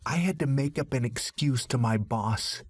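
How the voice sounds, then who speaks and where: A man playing an arrogant, angry father who is loud, impatient and insulting. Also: mid-range voice, normal speed, husky, no room sound, close to an everyday voice.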